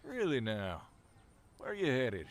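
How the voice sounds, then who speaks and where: A man's voice: medium pitch, huge pitch swings, takes it slowly, slight background noise, exaggerated voice. An actor playing a folksy, flirtatious, prying rustic man in an audio drama. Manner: drawling, flirtatious, inquisitive. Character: folksy, forward middle-aged rustic male stranger.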